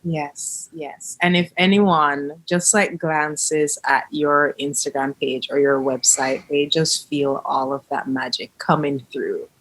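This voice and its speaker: A woman's voice: low voice, fairly even intonation, slow pace, few pauses, low-quality recording. Enthusiastic woman hosting a podcast. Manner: warm, enthusiastic. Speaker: podcast host, birth coach. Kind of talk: casual interview.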